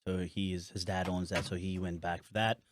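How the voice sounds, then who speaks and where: A young man hosting a podcast, relaxed and rambling, laughing easily as he talks. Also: deep voice, a little fast, slight background noise. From born in Africa, partly raised in Nepal. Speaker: podcast host. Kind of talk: casual chat.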